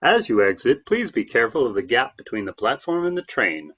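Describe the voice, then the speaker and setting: Male transit announcer acted by a stage reader, husky, lively pitch, reciting transfer notices. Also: fairly quick. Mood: announcing. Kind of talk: transit announcement in play reading.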